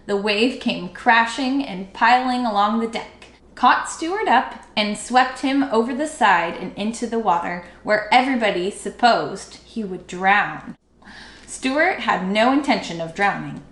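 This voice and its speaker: A woman reading a children's book aloud expressively, with dramatic, drawn-out emphasis. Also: fairly high pitch, expressive, a bit bright. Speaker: storybook read-aloud teacher. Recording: echoey room.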